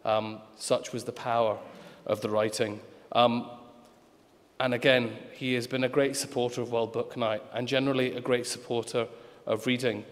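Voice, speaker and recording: A man with a medium-pitched voice gives a live stage introduction. He speaks haltingly but with emphasis, repeating phrases to build up. The sound carries the long reverb of a hall. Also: level tone. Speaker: event host introducing an author. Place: large echoing venue at a live event.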